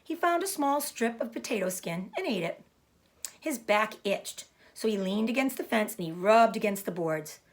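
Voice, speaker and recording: Expressive woman reading a children's book. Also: mid-range voice, normal speed. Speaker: read-aloud storyteller.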